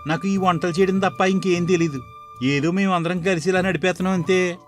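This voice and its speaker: A man's voice: sits high, fairly even intonation, fairly quick, faint music behind, pitched above the performer's own voice, lightly acted. A man voicing a village cook's assistant, a plain-spoken underling, in a cartoon moral story. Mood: eager, friendly.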